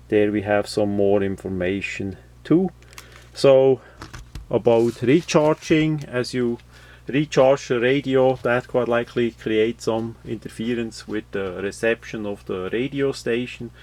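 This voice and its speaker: A man giving a casual, unscripted product walkthrough, explaining things haltingly with many uhs and repeated words. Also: mid-range voice, a little mellow. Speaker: host of product unboxing videos.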